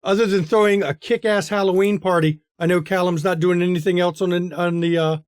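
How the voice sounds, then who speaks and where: A man's voice: sits high, steady tone, brisk, clean tone, no echo, studio quality. A man with an American accent hosting a game stream in a casual, chatty style. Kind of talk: casual chat and stream outro.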